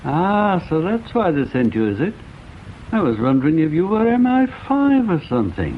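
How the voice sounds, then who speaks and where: Very animated, wry English gentleman. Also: a little high, normal speed, narrow, tinny audio, a little hiss or hum. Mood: wryly amused. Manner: wry, erudite, precise. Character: urbane, wry English gentleman, bookish and playfully precise. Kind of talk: radio drama acting.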